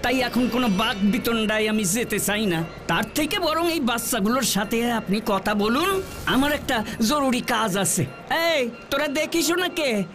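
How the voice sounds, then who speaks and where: A sensible, argumentative village grown-up in a children's cartoon. The voice is high and emphatic, with music behind it. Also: female voice, animated, moderate pace, fairly bright tone. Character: sensible, argumentative village uncle who looks after children.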